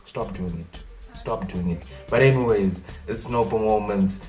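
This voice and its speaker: A young Zimbabwean man with a Zimbabwean accent rants playfully to camera, animated and exasperated, often repeating himself. His voice sits fairly low. The mic is set back from him, with faint music underneath. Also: unhurried, distant mic, rough recording. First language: Shona. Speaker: vlogger. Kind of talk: casual comedic vlog rant.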